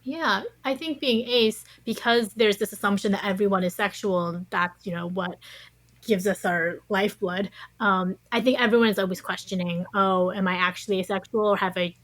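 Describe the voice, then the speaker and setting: A woman's voice: medium pitch, moderate pace, fairly bright tone, dry recording. A woman speaking as an interview guest, giving thoughtful, conversational answers. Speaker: interview guest, science writer.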